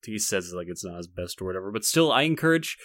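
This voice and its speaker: A man's voice: on the low side, sing-song, quick pace, a bit bright, dry recording, clean studio sound. A man hosting a podcast in casual chat, talking in a rambling, hesitant way. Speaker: podcast host.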